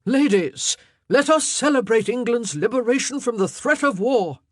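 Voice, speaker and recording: A man's voice: high-pitched, animated, normal speed, no room sound, studio quality. A woman voicing a bold, commanding king in an audiobook, with emphatic, declamatory delivery. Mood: exultant. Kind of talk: audiobook character dialogue.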